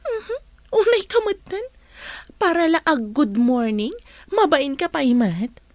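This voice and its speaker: Teasing young trainee teacher, high-pitched, very lively swings, Filipino accent, radio drama friend. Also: female voice, a little slow, dry recording. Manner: teasing, animated. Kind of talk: Ilocano radio drama acting.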